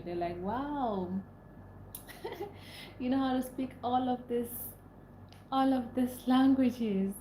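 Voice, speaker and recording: A woman's voice: medium pitch, dark tone, slight background noise. A young Nigerian woman, a native Igbo speaker, hosting a casual livestream, chatting with viewers, repeating herself and laughing often. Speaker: livestream host. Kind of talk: casual livestream chat reading comments. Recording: echoey room, faint ambience.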